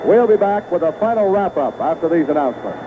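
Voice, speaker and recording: A man's voice: a little high, animated, fast talker. A man doing live radio play-by-play of a football game in short, clipped phrases. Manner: clipped phrases, calling action as it happens. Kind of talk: live sports commentary. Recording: noisy phone-like vintage radio broadcast.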